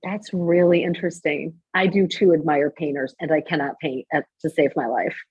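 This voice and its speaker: A woman's voice: low voice, fairly even intonation, moderate pace, slightly rough, lo-fi sound. A woman hosting a casual, chatty interview. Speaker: interview show host.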